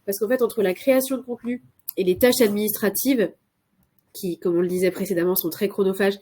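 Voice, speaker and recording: A woman's voice: medium pitch, steady tone, brisk, dark tone. A woman with a cold, presenting as a consultant in a live webinar, hesitant with many filler sounds and restarts. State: has a cold.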